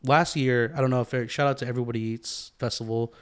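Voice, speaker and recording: A man's voice: medium pitch, steady tone, quick pace, clean tone. A man with an American accent chatting casually as a podcast co-host, rambling and repeating himself for emphasis. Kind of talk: casual conversational chat.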